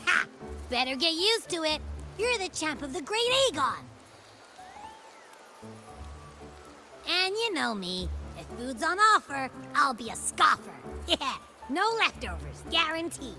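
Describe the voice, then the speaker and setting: A woman's voice: high voice, sing-song, normal speed, sharp tone, soft background music, heavily acted. A woman voicing a small, cheeky, food-loving creature companion in a video game, chatty, playful and given to exclamations. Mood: playful, greedy. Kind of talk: animated video game character acting.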